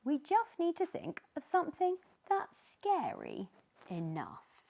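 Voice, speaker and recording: A woman's voice: high voice, huge pitch swings, slow, vocal fry, a little mellow, voice pushed up, full character voice. A woman voicing an eager, helpful little fish friend with an encouraging, coaxing manner in a storybook. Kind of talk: children's storybook character voice.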